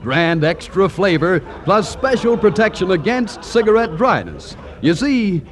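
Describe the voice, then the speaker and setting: Animated American male radio announcer. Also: sits high, unhurried. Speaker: commercial announcer. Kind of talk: 1940s radio advertising pitch.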